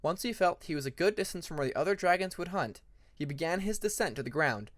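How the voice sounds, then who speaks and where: A man's voice: on the high side, expressive, fast, no room sound. A man narrating scripted fiction as an audiobook reader, with a dramatic storytelling manner.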